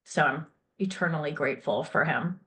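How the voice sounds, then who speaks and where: A woman's voice: sits low, moderate pace, fairly bright tone. A middle-aged woman, a finance company founder, talking candidly as an interview guest, laughing easily and using many fillers. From grew up in Thailand and England. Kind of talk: casual interview chat.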